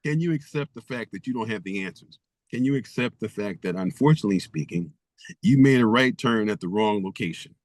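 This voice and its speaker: A man's voice: lowish voice, expressive, fairly quick, dry recording, rough recording. A man guest author in an interview, speaking emphatically and stacking rhetorical questions. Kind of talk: interview conversation.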